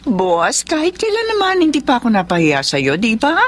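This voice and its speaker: A woman's voice: on the high side, wildly swooping pitch, normal speed. A woman acting a scheming recruiter with a Filipino accent in a radio drama, coaxing and self-assured. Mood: confidently scheming. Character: smooth-talking, scheming female recruiter luring village girls.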